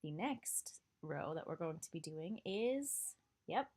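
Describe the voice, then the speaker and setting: Slow nasal woman teaching knitting. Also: on the low side. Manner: slow, relaxed, filler-heavy, counting stitches aloud. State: sick and nasal. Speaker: knitting instructor. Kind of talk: casual step-by-step tutorial voice-over.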